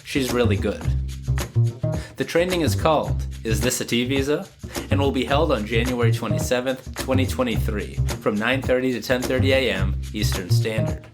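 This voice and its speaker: A man hosts a legal news podcast in a mid-range voice, made a little nasal by a cold. He reads prepared material and slips into casual asides. Music with guitar plays clearly behind him. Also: medium pitch, normal speed. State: has a cold. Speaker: podcast host; lawyer.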